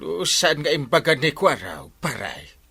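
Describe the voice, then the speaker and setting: A man's voice: fairly high pitch, sing-song, a little slow, creaky, pushed and bright, soft background music, full character voice. A man acting a village character in an Ilocano-accented comedy radio drama. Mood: excited storytelling.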